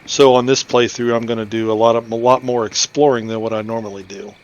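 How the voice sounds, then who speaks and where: A man hosts a game playthrough in an average-pitched voice. He talks casually through what he is doing, as in an informal gaming video. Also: little pitch movement, a little fast, dull audio.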